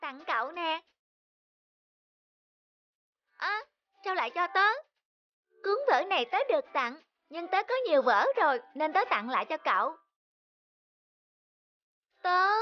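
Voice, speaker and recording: Very high-pitched, earnest little schoolboy voiced by a woman. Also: sharp tone, no echo, heavily acted. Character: earnest young schoolboys in a moral cartoon. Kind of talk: animated children's story dialogue. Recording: faint music behind the voice.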